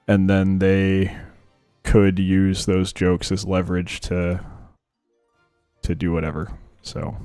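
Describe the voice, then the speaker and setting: A man's voice: lowish voice, mellow, no echo. A young man with a sore throat, chatting and venting casually while playing a game. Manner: rambling, venting, frank. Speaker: gaming YouTuber. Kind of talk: casual gameplay commentary.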